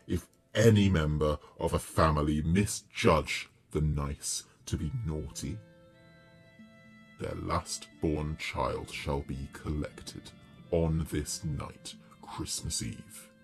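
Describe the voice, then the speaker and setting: Casting: ancient inhuman demon judge for horror audio, booming and extremely low, solemn menace, music beneath. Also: male voice, creaky, exaggerated voice. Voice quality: deep, booming. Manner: slow, solemn, menacing pronouncements. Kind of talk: horror audio story acting.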